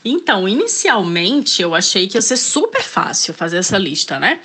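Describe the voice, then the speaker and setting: A woman with a Northeastern Brazilian accent talks as a guest in a relaxed interview. She hesitates and restarts often, and pads her thoughts with small fillers. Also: medium pitch, moderate pace, breathy edge. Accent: Northeastern Brazilian Portuguese. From Ceará, Northeast Brazil. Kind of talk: casual interview, reading passages aloud.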